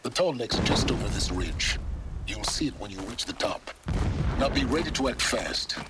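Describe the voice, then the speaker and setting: An actor playing a secretive guide in a video game, giving clipped, dry, commanding instructions with urgency. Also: male voice, mid-range voice, lively intonation, normal speed, very noisy, natural voice. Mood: terse urgency. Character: terse, secretive male guide urging urgency. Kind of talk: video game dramatic acting. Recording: loud game music, gunfire behind.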